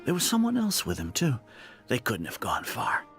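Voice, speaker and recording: A friendly older villager in a game, speaking warmly and plainly. His pitch moves around a lot as he explains himself. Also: huge pitch swings, moderate pace, soft background music. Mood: helpful. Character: friendly elderly villager, fond of praising youth. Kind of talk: video game voice acting.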